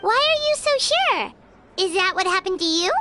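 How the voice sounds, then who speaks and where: A woman voicing a tiny, perky, childlike fairy companion in a video game, squeaky and encouraging. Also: big pitch swings, normal speed, background noise. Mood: curious, impressed. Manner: perky, speaks of herself in third person. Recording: music behind the voice. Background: music.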